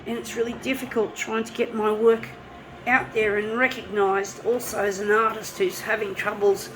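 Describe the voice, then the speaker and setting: A woman, hoarse from a flu with a blocked nose, chatting and swearing freely as she works. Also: medium pitch, flat delivery, moderate pace, noisy background. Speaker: artist. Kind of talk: casual talk while working.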